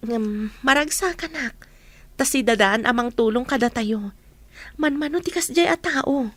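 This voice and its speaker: A woman's voice: high-pitched, measured pace, dry recording. An actress playing a poor, humble, devoted young working woman with an Ilocano accent in a radio drama. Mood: tender, earnest. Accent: Filipino, Ilocano.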